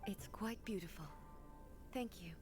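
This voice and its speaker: A woman playing an ancient, dignified ruler deity in a video game, speaking in a formal, measured, solemn manner. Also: medium pitch, music in the background, lightly acted. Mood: gracious. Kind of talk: English video game voice acting. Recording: game audio with clear background noise.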